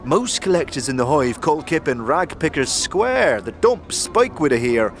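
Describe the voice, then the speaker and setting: A man's voice: a little high, big pitch swings, measured pace, faint music behind. A man playing a cheery, chatty ragged corpse collector in an audio drama, upbeat, rambling and slangy. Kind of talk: audio drama character acting.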